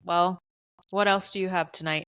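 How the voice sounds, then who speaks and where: Monotone young woman co-hosting a podcast. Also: fairly low pitch, moderate pace, smooth. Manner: flat, monotone delivery. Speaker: podcast co-host. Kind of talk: casual chat.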